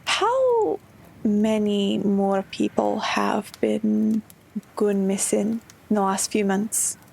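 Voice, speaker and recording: A woman's voice: mid-range voice, lively intonation, takes it slowly, creaky, a little mellow, husky, poor audio. A woman playing a tabletop roleplaying game, talking casually as one of the players. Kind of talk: casual introduction and in-game chat.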